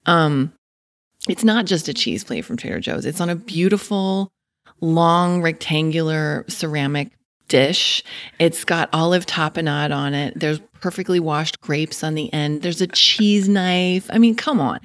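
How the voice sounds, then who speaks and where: A woman's voice: deep voice, unhurried, no echo. A woman hosting an interview podcast, effusive and gushing over her guest in casual chat. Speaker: podcast host.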